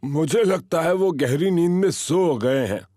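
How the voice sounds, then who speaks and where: Unhurried old grandpa pig. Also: male voice, on the high side, warm tone, dry recording, studio quality. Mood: mild, relaxed. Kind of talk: children's cartoon dubbing.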